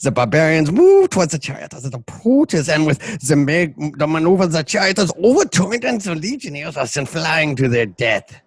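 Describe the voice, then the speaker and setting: A man's voice: fairly high pitch, big pitch swings, moderate pace, hardly any pauses, breathy edge, no echo. A man reading stage directions as narrator of an amateur radio play, in a theatrical, playful and dramatic way. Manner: dramatic, playful, acts out shouts and crowd.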